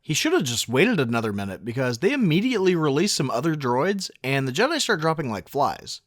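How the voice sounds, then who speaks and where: A man's voice: fairly high pitch, very animated, brisk, no room sound, studio quality. A man narrating a film recap casually, with a wry, sarcastic, joking delivery.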